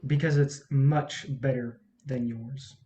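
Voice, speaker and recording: A woman's voice: extremely low pitch, fairly even intonation, slow, mellow, a little hiss or hum. A woman playing a cunning, bitter male film distributor in a staged play reading, with a blunt, cocky manner. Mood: bitter, cutting.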